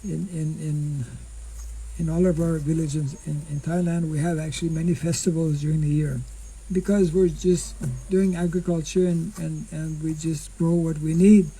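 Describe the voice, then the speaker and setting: An older monk giving an informal scripture class outdoors into a stand mic, speaking slowly and haltingly and clearing his throat often. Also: fairly high pitch, level tone, dark tone, rough recording. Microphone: stand mic in front.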